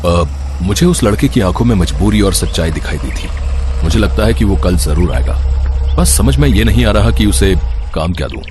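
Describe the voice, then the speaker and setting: A man voicing a wealthy, trusting factory owner, measured and reassuring, in a moral story. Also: deep voice, lively intonation, noisy background. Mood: kindly, reassuring. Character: kindly, trusting wealthy male factory owner, calm boss. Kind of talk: Hindi moral story voice acting.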